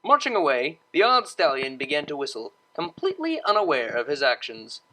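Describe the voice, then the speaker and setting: A woman's voice: low voice, sing-song, fairly quick, bright, forceful tone, poor audio. A woman reading a scripted story aloud as its narrator.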